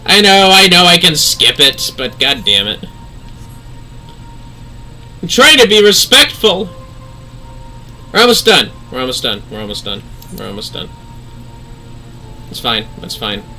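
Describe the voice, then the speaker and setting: A man's voice: on the high side, very animated, unhurried, pauses often, bright, forceful tone, faint music behind, rough recording. A man giving casual, sweary and jokey livestream commentary on a game, often exclaiming. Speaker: livestream host.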